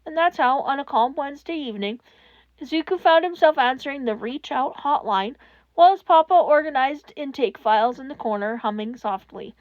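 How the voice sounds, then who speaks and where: A woman reading a fan fiction story aloud in expressive scripted narration. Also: high-pitched, a little fast, no room sound, highs cut off.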